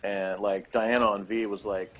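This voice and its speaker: A man's voice: fairly low pitch, little pitch movement, moderate pace, noisy background. A man chatting casually as co-host of a TV review show, with a sarcastic, mocking way of putting things down. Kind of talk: casual chat reviewing a sci-fi TV episode.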